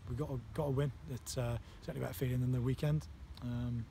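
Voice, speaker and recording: Halting football manager, flat tone. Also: male voice, medium pitch, gravelly. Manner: halting, many fillers and restarts. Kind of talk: post-match interview. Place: outdoors on a floodlit football pitch at night. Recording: outdoor noise behind voice.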